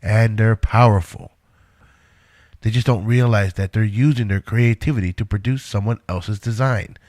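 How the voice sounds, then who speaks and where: A man's voice: on the low side, normal speed, a little mellow, no room sound, clean studio sound, natural voice. A man playing a reluctant inventor in an audio drama, earnestly protesting for the work he is devoted to. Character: Einstein, a reluctant, indignant male inventor devoted to his work.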